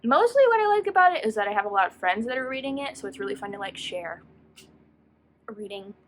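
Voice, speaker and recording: A woman's voice: medium pitch, big pitch swings, fast talker. A woman chatting casually to viewers as a book vlogger, rambling and self-deprecating as she goes off on tangents. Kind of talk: casual chatty video talk.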